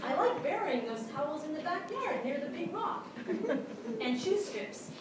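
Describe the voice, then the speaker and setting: A woman plays an excitable dog narrating his adventures in a high voice. The delivery is theatrical and comic, full of short punchy phrases. It is picked up from far off in a noisy, very reverberant theatre. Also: normal speed. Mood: matter-of-fact. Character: excitable, boastful, easily distracted male dog narrator. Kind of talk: theatrical staged prose reading. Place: small theatre stage, live audience.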